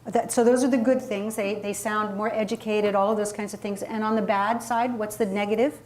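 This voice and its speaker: A woman's voice: mid-range voice, fairly even intonation, brisk, a little mellow. A woman leading an interactive workshop as a public speaking coach, talking emphatically and conversationally and putting questions to her audience. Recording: live room recording with clear background noise.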